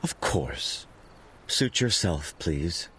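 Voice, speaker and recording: Gruff game relief worker, low, slow, raspy, polite. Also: male voice, very animated, a bit bright, slight background noise, exaggerated voice. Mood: obliging. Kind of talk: scripted video game voice acting.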